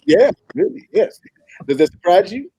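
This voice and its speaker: A man reading the part of an earnest young African prince who poses as a humble goat herder, speaking haltingly in a comedy table read. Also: high-pitched, sing-song, creaky, dark tone, breathy edge, dry recording, exaggerated voice, low-quality recording. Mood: hesitant, modest. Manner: hesitant, halting delivery with repeated words.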